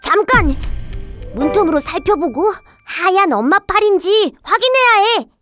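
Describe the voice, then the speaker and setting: Very high-pitched little goat kid. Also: female voice, lively intonation, a little slow, fairly bright tone, no echo, full character voice. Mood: alarmed, defiant. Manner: childlike, emphatic. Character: small, bright, wary young goat kid. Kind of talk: children's fairy-tale acting. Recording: faint music behind the voice.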